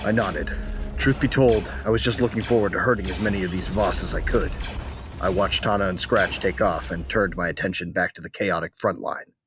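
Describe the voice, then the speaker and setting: A man's voice: deep voice, fairly even intonation, brisk, over music, lightly acted. An actor playing a battle-hungry, protective soldier who narrates his own story in a sci-fi audio drama. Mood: grim, dry.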